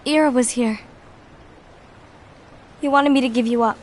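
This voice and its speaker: A woman's voice: sits high, steady tone, measured pace. An actress playing an earnest, anxious young woman who leads a union in a fantasy game. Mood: concerned. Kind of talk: animated fantasy game cutscene acting. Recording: music behind the voice.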